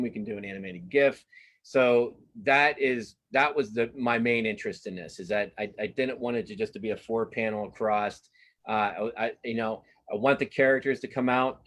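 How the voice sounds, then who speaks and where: Guy with a lowish voice, casual interview chat, kinda rambles and restarts his thoughts. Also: a bit bright. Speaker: cartoonist guest. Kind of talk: casual interview chat about own work.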